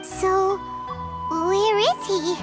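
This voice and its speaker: A woman voicing a tiny, excitable, childlike fairy companion in a video game, with a squeaky, exclamatory, eager delivery. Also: very slow, heavily acted. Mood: puzzled. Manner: exclamatory, wide-eyed, eager. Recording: music clearly behind the voice.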